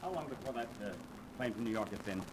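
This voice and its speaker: A man's voice: medium pitch, lively intonation, fast talker, close to an everyday voice. An actor playing a courteous, somewhat anxious businessman, polite and hesitant, in a 1930s radio drama. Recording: noisy 1930s radio transcription.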